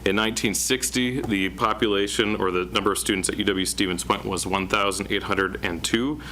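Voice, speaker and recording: Man, planning director type; low, bright, monotone delivery full of fillers. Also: a little fast, slight background noise. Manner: self-described monotone, many uh fillers. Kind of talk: presenting at a public meeting. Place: council chamber.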